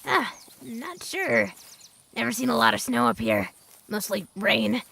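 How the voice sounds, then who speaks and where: A woman playing a centuries-old female ghoul, a gruff, jaded wasteland guide with a creaky voice and a blunt, dry manner, in an audio drama. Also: fairly high pitch, big pitch swings, unhurried, pushed and bright, no echo, full character voice. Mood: dry, unsure. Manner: blunt, dry, world-weary.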